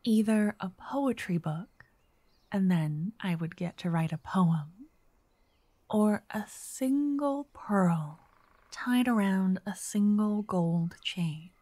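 A woman playing a wealthy, romantic vampire writer in an intimate ASMR roleplay, speaking softly and breathily, often whispering, with an elegant, flirtatious manner. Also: mid-range voice, lively intonation, takes it slowly, no room sound. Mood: musing. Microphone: close microphone in a dry, quiet space.

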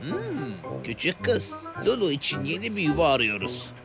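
An actor playing a kindly elderly pet owner in a children's cartoon, speaking gently and expressively. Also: male voice, a little high, huge pitch swings, moderate pace, gravelly, loud music behind the voice, full character voice. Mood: gentle, wistful. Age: older. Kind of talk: animated children's cartoon acting.

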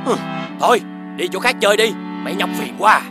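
A grumpy, greedy cartoon hippo farmer. He is exaggerated and dismissive, with a high, animated pitch and loud music behind him. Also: a little fast. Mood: irritated. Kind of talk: cartoon voice acting.

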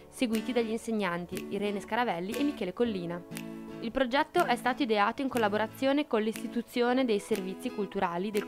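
Female announcer, higher-pitched, scripted credits read over clear music.

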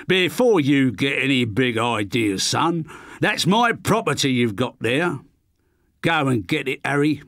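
A man voicing a gruff, thick-set middle-aged businessman, blustering and threatening. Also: mid-range voice, animated, measured pace, a bit bright, dry recording, clean studio sound.

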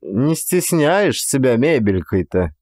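A man voicing a jovial, chatty male visitor who banters in a familiar, animated way in an audiobook. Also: medium pitch, takes it slowly, no echo, clean studio sound. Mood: breezy, familiar.

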